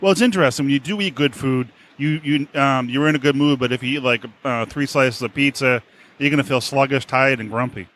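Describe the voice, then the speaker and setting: A man hosting a casual podcast interview, haltingly searching for his words. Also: mid-range voice, a bit bright. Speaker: podcast host.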